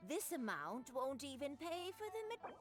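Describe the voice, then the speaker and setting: A woman's voice: high voice, very animated, normal speed. An actress voicing a kindly, shrewd elderly kite maker in a video game, speaking in a chatty grandmotherly way. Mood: haggling. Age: older. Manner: chatty grandmotherly, sing-song when describing. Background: game music.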